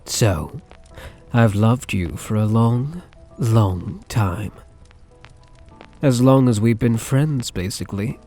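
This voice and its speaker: Man acting a slow, hesitant young mafia heir. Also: on the low side, lively intonation, mellow, soft background music. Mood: tender, nervous confession. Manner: hesitant, halting, tender. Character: soft-spoken, hesitant lovesick young mafia heir, gently possessive. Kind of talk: ASMR roleplay.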